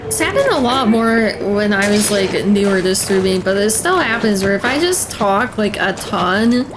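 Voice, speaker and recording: A young adult woman streaming a game, chatting casually in a hoarse, tired voice, rambling with many fillers and frequent throat clearing. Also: medium pitch, expressive, moderate pace, low-quality recording. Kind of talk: casual chat while gaming. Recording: loud game music over voice. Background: video game music.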